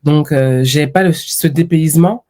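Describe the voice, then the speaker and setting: Mellow-voiced woman guest, reflective. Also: deep voice, a little slow. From France. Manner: reflective, with lively quoted asides. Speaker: podcast guest. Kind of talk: conversational interview.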